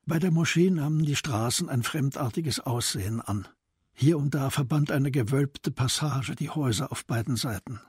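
A man narrating a scripted radio drama with a very husky, breathy voice. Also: medium pitch, normal speed, a little mellow, no room sound, clean studio sound.

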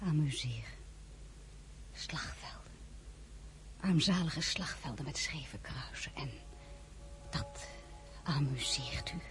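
An actress playing a dignified, quietly defiant French princess and mother in a historical radio drama, measured and often dropping to near whisper. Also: low voice, faint music behind. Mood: bitter, shaken. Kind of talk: Dutch-language historical radio drama acting.

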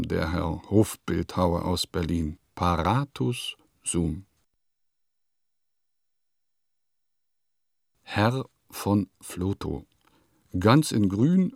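An actor playing a grumpy, self-important provincial composer in a radio play, grumbling through his diary in pompous, deliberate phrases. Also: fairly low pitch, vocal fry, a little mellow, dry recording, studio quality, exaggerated voice. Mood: pleased, self-important.

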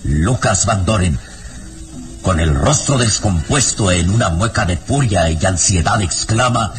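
A man narrates an old radio drama in a vivid, declamatory way and a slightly low voice. Music plays clearly beneath him. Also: expressive, a little slow.

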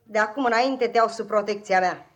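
An actress playing a confident, protective older schoolboy in a 1950s radio play, assured and authoritative. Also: female voice, medium pitch, lo-fi sound, natural voice. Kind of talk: 1950s Romanian radio theatre acting.

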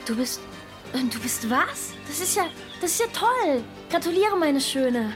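Sweet eager young woman friend, highish voice, soothing, radio drama, music behind. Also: sing-song, unhurried. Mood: flustered, soothing. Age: young adult. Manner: gushing, hesitant, soothing.

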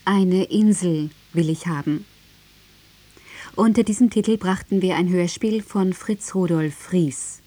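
Slow, mellow woman radio announcer. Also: lowish voice, no echo. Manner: measured, carefully enunciated. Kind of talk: formal scripted radio announcement.

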